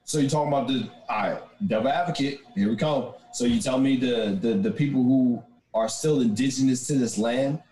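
A man's voice: medium pitch, warm tone. Argumentative man in a roomy echo. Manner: argumentative, slow and emphatic when making a point. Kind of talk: casual debate chat.

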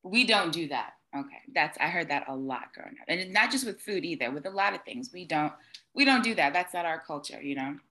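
A woman hosting a podcast speaks in a notably low register, with a bright, forceful edge to her tone. She is empathetic and conversational, and she often affirms her guest. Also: animated, fairly quick. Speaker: podcast host. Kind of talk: conversational interview.

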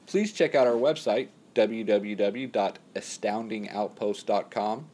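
A man's voice: lowish voice, a little slow, a little mellow, soft background music. A man giving a podcast host's promotional announcement. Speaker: podcast host.

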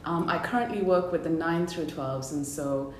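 Low-voiced woman in an echoey room. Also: moderate pace. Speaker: school counsellor. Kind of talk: conversational interview. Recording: roomy echo with faint low rumble.

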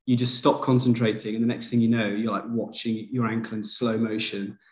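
A man's voice: on the low side, level tone, brisk, a little mellow. Brief: a man from Liverpool, a podcast guest, chatty and self-deprecating, in a roomy echo. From Liverpool, England. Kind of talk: casual conversation.

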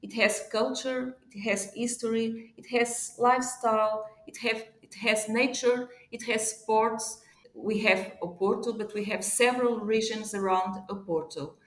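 A woman's voice: a little high, steady tone, a little slow, a bit bright. A woman with a Portuguese accent, answering as an interview guest with careful phrasing, searching for words in a second language. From Portugal, born in Africa. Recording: echoey room sound.

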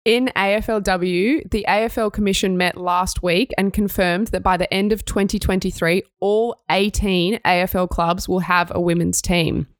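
A woman's voice: mid-range voice, steady tone, moderate pace, hardly any pauses, no room sound, studio quality. A young Australian woman co-hosting a sports news podcast, reading stories and chatting in an upbeat way. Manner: upbeat, reads news items then chats. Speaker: podcast co-host.

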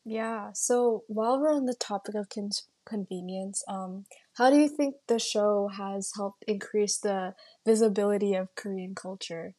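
A woman's voice: mid-range voice, a little slow, few pauses, mellow, breathy edge, dry recording. A young woman co-hosting a podcast, asking interview questions in a casual conversational style. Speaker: podcast co-host.

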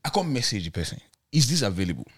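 A young man with a Nigerian accent, co-hosting a casual podcast chat and telling animated stories with pidgin and laughter. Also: medium pitch, very fast, breathy edge. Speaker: podcast co-host.

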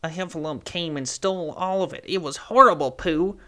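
A man's voice: on the high side, normal speed, pushed and bright, voice pushed up. A man voicing a friendly, eager male bee who speaks encouragingly and a little hesitantly.

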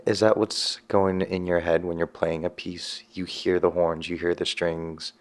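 Deep-voiced man interviewing a pianist. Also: flat delivery, measured pace. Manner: friendly and curious, asking follow-up questions. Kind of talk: casual interview.

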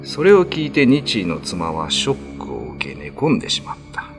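Low, animated male ghost-story narrator. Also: moderate pace, over music.

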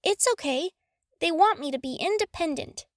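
A woman's voice: extremely high pitch, lively intonation, normal speed, no echo, studio quality, voice pushed up. A woman voicing a polite, eager, evasive young boy wizard in a storybook reading.

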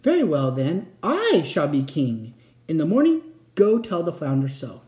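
Storyteller acting a proud, bossy fisherman's wife, animated. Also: mid-range voice, unhurried. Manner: grand, bossy. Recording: roomy, echoing sound.

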